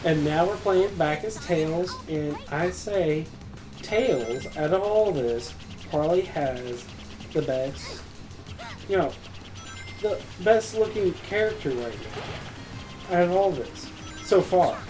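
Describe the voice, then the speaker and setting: A man's voice: fairly high pitch, animated, takes it slowly, pauses often. Mildly exasperated guy co-commentating over game music. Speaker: let's play co-commentator. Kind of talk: casual gameplay commentary. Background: video game music.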